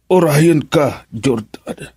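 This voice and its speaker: A middle-aged Filipino father in a radio play, weakened and weary. The delivery is slow, the voice husky, with a dry close sound. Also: fairly high pitch, dark tone, no echo, full character voice. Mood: weary, familiar. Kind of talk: radio drama acting.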